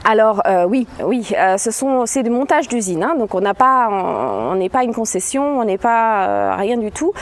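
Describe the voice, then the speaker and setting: A woman's voice: fairly high pitch, expressive, normal speed, few pauses. A woman on staff guiding a visitor through a new office building, explaining in a hesitant way with many fillers and frequent laughs. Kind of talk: casual spoken tour explanation.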